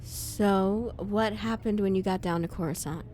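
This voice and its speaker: A man's voice: high voice, steady tone, measured pace, darkish tone, slight background noise, pitched above the performer's own voice. A man voicing a sly woman journalist who teases and probes the inventor with her questions in a fan audio drama. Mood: curious. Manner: teasing, probing questions.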